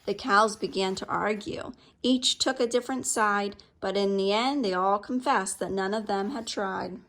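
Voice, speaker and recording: A woman reading a picture book aloud to children in an expressive storytelling style. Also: mid-range voice, normal speed.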